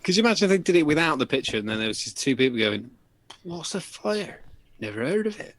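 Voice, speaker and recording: A man's voice: a little high, big pitch swings, quick pace, fairly bright tone, no echo. A man co-hosting a show in casual banter, with a sweary, sardonic, joking manner. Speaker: show co-host presenting news.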